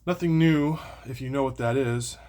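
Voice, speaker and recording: A man's voice: mid-range voice, normal speed, mellow. A man hosting a casual, unscripted unboxing and review, rambling and circling back to repeat his points. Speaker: video host doing product unboxing and review.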